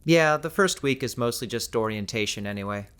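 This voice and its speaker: A man's voice: medium pitch, lively intonation, a little fast, a bit bright, clean tone, lightly acted. A man playing an easygoing, wry young husband who teaches, in casual audiobook dialogue.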